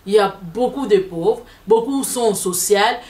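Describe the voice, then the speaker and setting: Lively woman, echoey room. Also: mid-range voice, moderate pace, distant mic. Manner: lively, mixes Lingala into French. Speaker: guest. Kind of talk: opinionated discussion. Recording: echoey room, speaker off mic.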